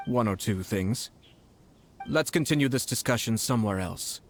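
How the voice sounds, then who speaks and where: A man playing a composed, courtly and playfully sly young nobleman in a video game, speaking in a measured, polite way. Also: mid-range voice, animated, slight background noise, close to an everyday voice. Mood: serious, shrewd. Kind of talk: game dialogue acting.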